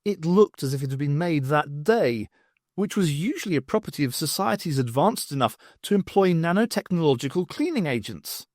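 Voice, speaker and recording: A man reading a story aloud as an audiobook narrator, in an expressive scripted style. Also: on the high side, moderate pace, no echo, clean studio sound.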